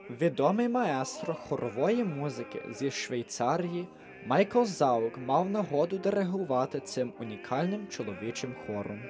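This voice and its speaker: Lively male TV narrator. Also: on the high side. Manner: clear, measured delivery. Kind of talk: scripted TV narration.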